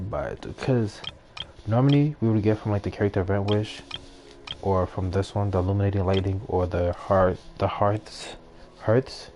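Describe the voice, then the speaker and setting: Low-voiced young man gaming casually. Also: dark tone, slight background noise. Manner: slangy, rambling. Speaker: gaming streamer. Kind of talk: casual gameplay commentary. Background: game music.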